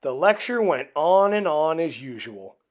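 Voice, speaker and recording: Animated woman reading a storybook. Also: low voice, highs cut off. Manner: expressive, deliberate storytelling. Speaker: storybook reader. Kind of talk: children's read-aloud narration.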